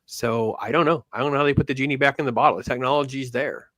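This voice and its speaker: A man's voice: medium pitch, quick pace, fairly bright tone, no room sound. Middle-aged man with a dry, close sound. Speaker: host of an online Star Wars fan show. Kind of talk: casual chat on a livestream. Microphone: online call on a livestream, camera off.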